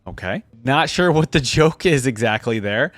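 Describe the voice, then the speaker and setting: A man hosting a casual reaction video, reading aloud and commenting conversationally as he thinks out loud. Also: mid-range voice, animated, moderate pace. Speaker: YouTube reaction host.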